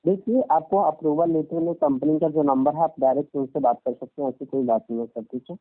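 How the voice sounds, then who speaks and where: A man's voice: on the high side, steady tone, fairly quick, faint music behind. A man talking like a phone loan agent, insistent and repetitive, interrupting and constantly using polite forms of address. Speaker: caller presenting himself as finance company loan agent. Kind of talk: persuasive phone sales talk. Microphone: telephone. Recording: tinny narrowband phone call recording.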